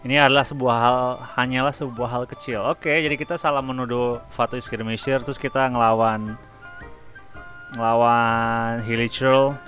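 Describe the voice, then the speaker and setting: An Indonesian-accented man comments casually on a game in a mid-pitched voice. His delivery is slangy and joking, and faint game music plays behind him. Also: steady tone, fairly quick, a bit bright. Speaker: gaming YouTuber. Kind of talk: casual let's-play commentary.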